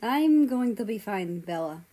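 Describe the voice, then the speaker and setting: A woman voicing a gentle teenage big brother in an audiobook, quiet and reassuring. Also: medium pitch, lively intonation, unhurried.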